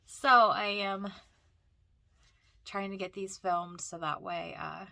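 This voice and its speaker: A woman's voice: medium pitch, fairly even intonation, slow, vocal fry, sharp tone, no echo. A woman with a cold and a stuffy, nasal voice, chatting casually as she narrates a walk through a thrift store. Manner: chatty, rambling, frequent fillers and restarts.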